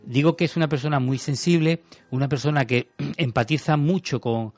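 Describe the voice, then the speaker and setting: A man's voice: a little high, moderate pace, a little mellow, soft background music. A man on a radio show talking about a film in a thoughtful, rambling way, with many restarts. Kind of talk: casual radio chat. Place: radio studio.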